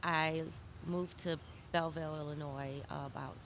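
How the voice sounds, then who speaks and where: A woman's voice: low-pitched, steady tone, moderate pace. A middle-aged woman who grew up in Saint Louis, giving conversational answers as an interview guest. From born in Malawi, grew up in Saint Louis, USA. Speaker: victim advocate, interview guest. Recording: clear background noise.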